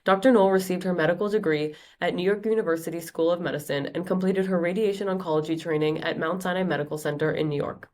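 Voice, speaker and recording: A young woman in her twenties introduces a guest from a prepared script. Her voice sits a bit low, and she reads the bio in a steady, measured way. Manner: reading a guest bio aloud. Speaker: medical student host. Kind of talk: read introduction.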